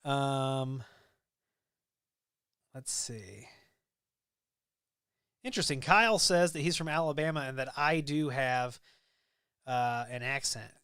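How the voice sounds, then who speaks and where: A man's voice: medium pitch, takes it slowly, long pauses, fairly bright tone, smooth, no room sound, clean studio sound. A Midwestern man hosting a casual live stream, reading viewer comments and rambling easily with frequent ums and restarts. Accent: Midwestern American. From American Midwest. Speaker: livestream host.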